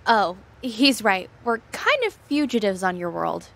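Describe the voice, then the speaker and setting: A woman's voice: medium pitch, sing-song, unhurried, vocal fry, a bit bright, full character voice. A woman playing a composed alien fugitive who leads her crew in an audio drama. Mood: composed, urgent.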